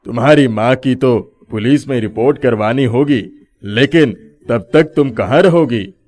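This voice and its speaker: A man's voice: mid-range voice, unhurried, a little mellow, lightly acted. A man playing a benevolent, authoritative middle-aged village headman in a story. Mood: concerned.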